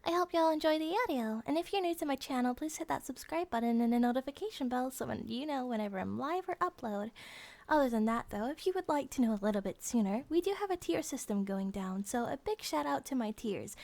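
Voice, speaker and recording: A woman's voice: on the high side, creaky, a little mellow. A woman hosting an ASMR channel, talking casually and affectionately to viewers in an informal intro. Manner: chatty, informal, affectionate toward listeners.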